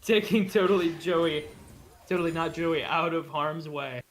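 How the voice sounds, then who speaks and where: A man's voice: on the high side, normal speed, a little hiss or hum. A man giving live play-by-play commentary on a video game match as an esports caster.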